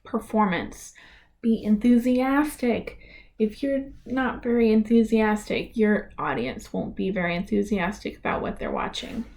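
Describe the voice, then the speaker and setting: A woman giving an instructional presentation for families, speaking with clear, deliberate enunciation. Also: medium pitch, a little mellow. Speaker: presenter of a library reader's theater tutorial.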